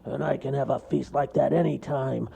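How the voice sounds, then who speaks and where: A man voicing a grumpy, bossy male bear in a storybook, loud and scornful, shouting and sneering. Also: mid-range voice, steady tone, normal speed, gravelly, slightly rough, voice pushed up, full character voice. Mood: angry boastful.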